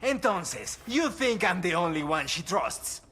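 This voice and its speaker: An actor playing a cornered, foul-mouthed macho cartel lieutenant with a Latin American Spanish accent in a game cutscene, defiant and agitated. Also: male voice, high voice, big pitch swings, unhurried, bright, forceful tone, slight background noise, heavily acted.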